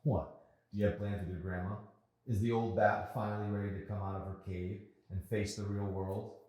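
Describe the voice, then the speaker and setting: A man's voice: fairly low pitch, fairly even intonation, normal speed, darkish tone, natural voice. A man voicing a spiteful, sarcastic young female coworker while reading a novel. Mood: mocking. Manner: sarcastic, scolding. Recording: very echoey room.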